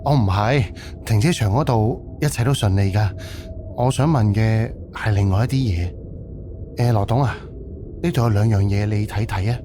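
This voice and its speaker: A man's voice: on the low side, moderate pace, noisy background, natural voice. A man acting a young, polite and inquisitive haunted-house owner in a Cantonese audio drama, asking hesitant questions. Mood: polite, probing. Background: background music.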